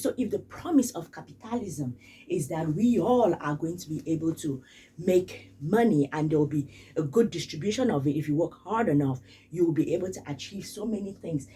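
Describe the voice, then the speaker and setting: A woman's voice: on the low side, mellow, husky, recorded from a distance. A woman from Cameroon, giving casual spoken commentary in an emphatic way and repeating phrases for stress. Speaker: vlogger.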